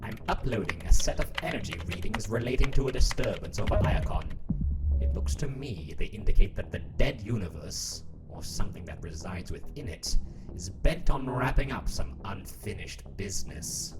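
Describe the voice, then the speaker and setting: A man's voice: on the low side, animated, moderate pace, heavy background noise, lightly acted. Man acting smug robot politician, theatrical swings. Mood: sly, mock-casual. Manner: theatrical, sardonic, mock-casual. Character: smug, scheming male robot leader, sardonic politician. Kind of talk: scripted fan dub of a comic.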